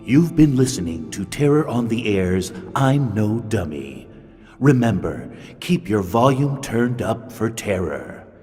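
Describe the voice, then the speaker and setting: A slow, low-pitched horror radio announcer, ominous and theatrical. His measured old-time delivery rings with long reverb. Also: a little mellow.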